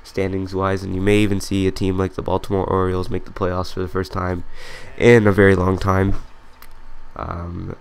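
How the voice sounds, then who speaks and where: A congested young man with a cold, talking casually over gameplay. His voice is low, smooth and clear, with a flat, even delivery full of ums and corrections. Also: moderate pace. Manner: rambling with frequent fillers and self-corrections. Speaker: gaming commentator.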